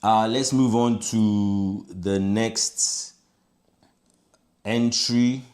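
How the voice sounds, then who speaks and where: A man's voice: on the low side, fairly even intonation, very slow, noisy background. A man with a Nigerian accent hosting a reaction video in casual chat, slipping into Yoruba and Pidgin. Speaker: host of a challenge reaction video.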